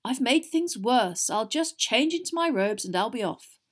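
Woman playing a weary, measured wizard father. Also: a little high, animated, pitched above the performer's own voice. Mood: resigned. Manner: measured, heavy, exasperated. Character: weary, soothing middle-aged wizard father.

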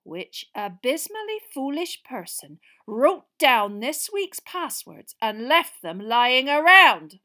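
A woman's voice: medium pitch, animated, fairly quick. A woman voicing a strict, imperious older female schoolteacher in a storybook, stern and exasperated. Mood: cold fury.

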